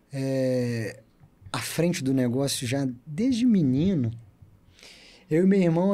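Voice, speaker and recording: A man in his thirties with a Minas Gerais accent, a podcast guest telling his story in an animated, talkative way. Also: on the high side. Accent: Minas Gerais Portuguese. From Brazil. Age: 30s. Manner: chatty, animated storyteller who loves to talk. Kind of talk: casual storytelling interview.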